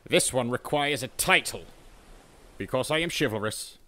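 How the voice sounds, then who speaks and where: A man as a pompous, bright-voiced knight captain. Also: mid-range voice, expressive, moderate pace, slight background noise. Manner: grandiose, archaic, declamatory. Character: pompous, boastful medieval English knight and sea captain. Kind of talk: theatrical cartoon character acting.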